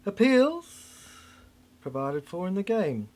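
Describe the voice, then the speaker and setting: An older person delivering a rambling, cryptic monologue in halting fragments, repeating phrases for emphasis. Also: medium pitch, very animated, a little mellow.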